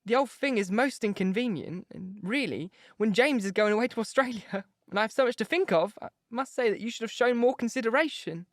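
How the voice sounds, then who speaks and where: A man's voice: high voice, a little fast, hardly any pauses, dry recording, studio quality, voice pushed up, natural voice. A man voicing a vain, mannered middle-aged actress who plays the mother. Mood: fussy. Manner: affected, mannered.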